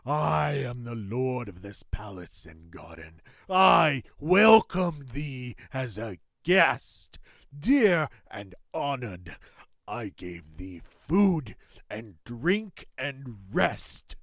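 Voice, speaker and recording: A man's voice: medium pitch, very animated, drawn-out words, gravelly, fairly bright tone, no room sound, clean studio sound, heavily acted. A man voicing a savage, hairy monster lord in a fairy tale, roaring in grand, archaic, menacing speech. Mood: bitter, reproachful.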